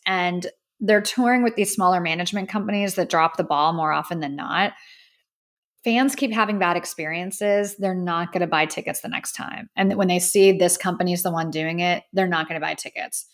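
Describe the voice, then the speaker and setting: A woman in her thirties from the United States, hosting a solo podcast and giving her opinions in an earnest, emphatic, conversational way. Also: lowish voice. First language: English. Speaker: podcast host. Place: indoor studio with a plain backdrop.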